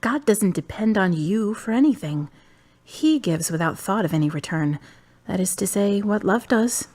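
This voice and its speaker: An actress playing a calm, wise young woman in her twenties from the United States, who talks bluntly and teases dryly. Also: mid-range voice, moderate pace, natural voice. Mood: firm. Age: 20s. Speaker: friend and love interest. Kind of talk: amateur web drama acting.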